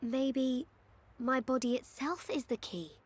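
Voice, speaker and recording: An actress playing a calm, thoughtful young heroine who speaks with quiet certainty in a video game. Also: female voice, sits high, slow pace, slightly rough, full character voice. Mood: pensive.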